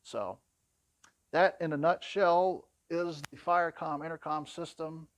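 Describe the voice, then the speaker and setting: A man's voice: a little high, steady tone, unhurried. A man giving an informal product walkthrough in a plain-spoken, conversational way, explaining things as a demonstrator.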